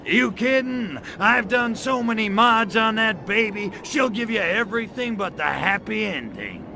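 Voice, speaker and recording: An actor playing a cocky, wisecracking alien invader in a video game, crude, flirty and sarcastic, with a drawling delivery. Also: male voice, high voice, expressive, a little slow, vocal fry, bright, forceful tone, slight background noise, full character voice. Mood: boastful, flirty. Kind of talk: video game cutscene acting.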